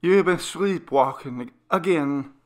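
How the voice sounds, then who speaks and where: A man's voice: on the high side, slow, voice pushed up. A man voicing a worried mother fussing over her feverish son in a read-aloud ghost story. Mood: pleading. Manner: anxious, pleading.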